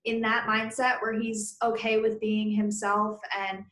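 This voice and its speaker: A woman teacher reading a children's story aloud, pausing with conversational explanations and questions for pupils. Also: a little high, little pitch movement, fairly bright tone, reverberant.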